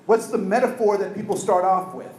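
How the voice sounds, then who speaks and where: A man giving an energetic, interactive diversity training talk, asking the audience questions. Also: sits high, brisk, reverberant, poor audio. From United States.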